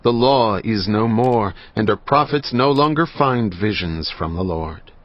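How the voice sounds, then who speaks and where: Solemn low-voiced man narrating scripture. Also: animated. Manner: solemn, measured, grave. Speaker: Bible narrator. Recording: slightly muffled, faint music behind.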